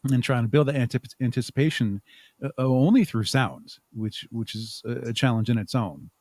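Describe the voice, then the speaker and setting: A man's voice: mid-range voice, normal speed, darkish tone, no room sound. Man thinking aloud as a podcast guest, dry sound. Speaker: podcast guest, audio drama writer and producer. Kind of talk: conversational interview answers.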